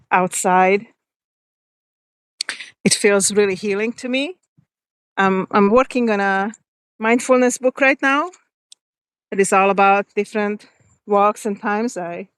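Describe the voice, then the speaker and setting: Woman host of a walking live stream, smooth and clear, talks casually to viewers. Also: medium pitch, no echo, poor audio. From Hungary. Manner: chatty, reads viewer comments aloud, pauses and restarts. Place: outdoors on a forest walk.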